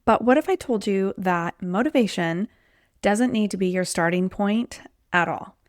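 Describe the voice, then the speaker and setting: A woman's voice: medium pitch, normal speed, no echo, studio quality. Woman coach with a slightly sick voice. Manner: chatty, conversational, says "like" and "um" often. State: voice a little off from being sick. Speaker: podcast host and weight loss coach. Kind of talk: solo podcast talk.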